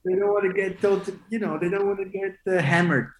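A man of forty, raised in Switzerland, talking in a relaxed, rambling way as a DJ guest, with many fillers and restarts. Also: high-pitched, a little fast, echoey room, a crowd in the background. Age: 40s. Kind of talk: casual interview chat.

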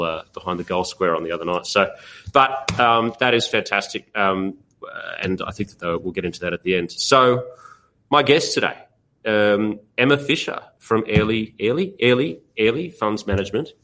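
A very deep-voiced Australian man hosts with a relaxed, jokey ramble. He trips over words and wanders off topic, then laughs it off. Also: normal speed. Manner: jokey, rambling, self-interrupting. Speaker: podcast host. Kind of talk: casual podcast hosting.

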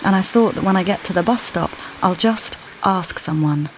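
An actress playing a weary, displaced mother who calmly guides the listener in a walking play, speaking plainly and directly to them. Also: on the low side, normal speed, slight background noise, lightly acted, low-quality recording. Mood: reflective. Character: displaced mother, weary and uncertain, far from home. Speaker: audio guide narrator. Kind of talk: immersive walking-play narration.

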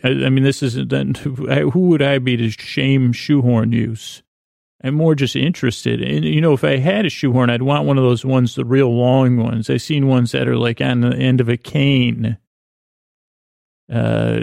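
A man hosting a podcast with a rambling, comedic bedtime monologue, halting and often interrupting himself. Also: mid-range voice, darkish tone, no room sound, studio quality. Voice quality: creaky, gravelly. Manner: halting, meandering, self-interrupting. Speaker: podcast host.

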